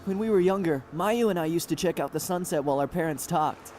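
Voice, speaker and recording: A man's voice: a little high, fast, a little mellow, a little hiss or hum, natural voice. A man playing a cheerful, friendly, oblivious young guy in an anime-style game, speaking in a breezy, casual way. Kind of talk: anime-style visual novel game acting.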